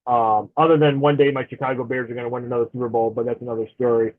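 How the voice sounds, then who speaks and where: A man's voice: mid-range voice, flat delivery, rapid-fire. Chatty man in his forties on a tinny line. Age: 40s. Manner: chatty and friendly, laughs easily. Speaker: podcast guest. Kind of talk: casual interview chat. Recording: tinny phone-like remote line.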